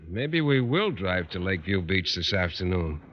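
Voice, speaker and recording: An actor playing a shy, literal-minded bachelor science teacher, hesitant and earnest, in an old-time radio sitcom. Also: male voice, sits low, very animated, moderate pace. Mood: mild, hesitant. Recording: muffled, noisy old radio recording.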